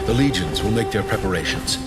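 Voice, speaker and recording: A man's voice: lowish voice, lively intonation, quick pace, lightly acted. A man voicing a dutiful, grave legion officer in a fantasy game, speaking formally. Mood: grave, obedient. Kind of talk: dramatic game voice acting. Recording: music behind.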